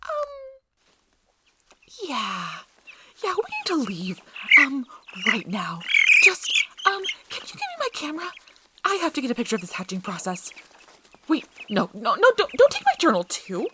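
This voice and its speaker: A woman playing a nervous, apologetic, injured young field researcher in an ASMR audio roleplay, breathy and hesitant, stammering as she goes. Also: high voice, wildly swooping pitch, normal speed, heavy background noise. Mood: alarmed, frantic.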